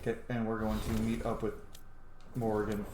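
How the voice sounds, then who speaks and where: A man's voice: on the low side, completely flat delivery, brisk. A man doing casual let's play commentary, informal and sweary, thinking aloud as he plays. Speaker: gaming video host. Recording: echoey room with faint background noise.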